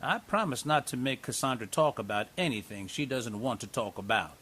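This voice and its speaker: A man's voice: medium pitch, animated, brisk, slight background noise, natural voice. A man playing a folksy reassuring investigator. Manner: folksy, gently joking.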